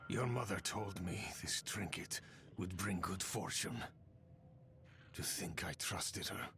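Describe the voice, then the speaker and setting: A defeatist guard in a fantasy game. He is weary and anxious, with a lowish voice and very slow, resigned delivery over faint ambience. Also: bright, forceful tone, slight background noise, full character voice. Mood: bitter, resigned. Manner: resigned, sighing, hesitant. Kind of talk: dramatic video game cutscene acting.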